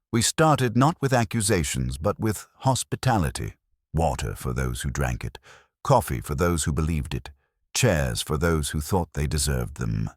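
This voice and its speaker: A man reading a scripted first-person detective story in a wry, measured way. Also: very deep voice, dry recording, clean studio sound. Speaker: audiobook narrator.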